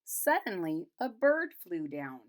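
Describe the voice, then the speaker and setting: A woman reading a children's storybook aloud, pausing to retell and explain it simply for young listeners. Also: fairly low pitch, big pitch swings. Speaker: storybook reader. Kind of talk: children's picture-book read-aloud with retelling in plain words.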